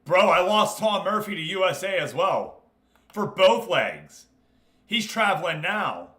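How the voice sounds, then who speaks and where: A man's voice: high-pitched, sing-song, unhurried, bright, forceful tone. A man hosting a gaming livestream, chatting informally and commenting as he talks straight to his group. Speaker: livestream host running an online football management game league. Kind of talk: casual gaming stream commentary. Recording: echoey, roomy sound.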